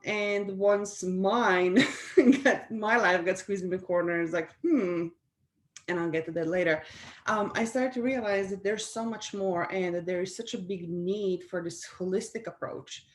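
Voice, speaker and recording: A middle-aged woman from Slovenia with a Slovenian accent, answering interview questions in a reflective, conversational way with small restarts and soft laughs. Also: mid-range voice. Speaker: guest speaker.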